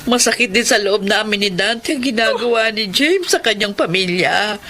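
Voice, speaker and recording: A woman's voice: medium pitch, normal speed, pushed and bright, a little hiss or hum, close to an everyday voice. A woman playing a worried, pleading middle-aged mother in a Filipino radio drama. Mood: sad, reproachful.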